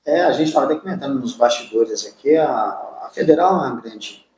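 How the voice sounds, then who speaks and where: A Brazilian man with a Brazilian accent hosting a podcast in a casual, informal, somewhat halting conversational way. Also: medium pitch, lively intonation, darkish tone. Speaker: podcast host. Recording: roomy echo, ambient background noise.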